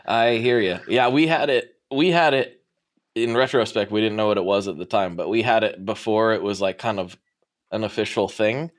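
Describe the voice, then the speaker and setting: A man's voice: on the low side, moderate pace. A man hosting a guitar podcast, chatting casually and laughing easily. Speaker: podcast host. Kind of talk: casual chat.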